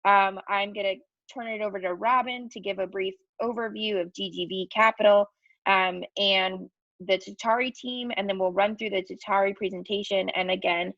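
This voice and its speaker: Gravelly-voiced woman hosting a webinar. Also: mid-range voice, steady tone, moderate pace, fairly bright tone, no echo, dull audio. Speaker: webinar host. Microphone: video call.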